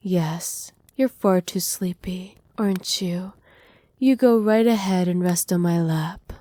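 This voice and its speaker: A woman voicing a doting, possessive evil witch in an intimate ASMR roleplay, speaking softly and teasingly, often slipping into breathy whispers. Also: fairly low pitch, takes it slowly. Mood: doting, soothing. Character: doting, possessive evil witch pampering her captive pet. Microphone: close microphone, dry.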